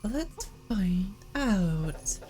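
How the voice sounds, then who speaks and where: A woman's voice: medium pitch, very animated, extremely slow, warm tone, slight background noise. A woman livestreaming a video game, chatting casually and expressively, her voice worn and prone to cracking. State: tired, cracking voice from losing it. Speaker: live game streamer. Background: game music.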